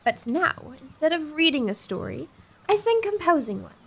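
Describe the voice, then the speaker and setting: A woman's voice: high-pitched, very animated, normal speed, creaky, slight background noise, exaggerated voice. A woman playing a fretful, theatrical young lady in a gothic comedy audio drama, with old-fashioned, sighing diction. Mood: dreamy. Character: restless, fretful young gothic-novel-loving lady companion.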